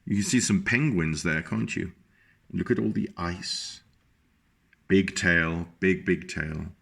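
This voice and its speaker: A man reading a picture book aloud to children, slowly and gently, stopping often to point out the pictures. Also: low-pitched, gravelly, slightly rough.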